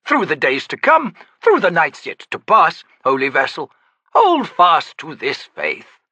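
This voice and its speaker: A man's voice: fairly high pitch, wildly swooping pitch, a little slow, sharp tone, husky, dry recording, voice pushed up, full character voice. A man voicing a pompous, flowery male trickster scholar who talks about himself in the third person, in an audiobook. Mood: genial. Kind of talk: audiobook character voice.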